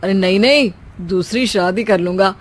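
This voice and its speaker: A woman's voice: medium pitch, close to an everyday voice. Woman putting on a bossy, teasing cartoon husband. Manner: bossy, hurried, jokey. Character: impatient, demanding, teasing husband, adult man. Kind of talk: cartoon story dialogue.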